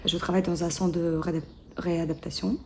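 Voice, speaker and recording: A woman in her thirties with a Ukrainian accent, answering interview questions haltingly, with many fillers and restarts. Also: lowish voice, flat delivery, a little mellow, a little hiss or hum.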